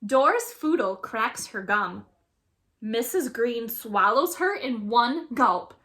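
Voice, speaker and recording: A woman reading a children's story aloud as a teacher would, with expressive, dramatic narration. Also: on the high side, slow pace.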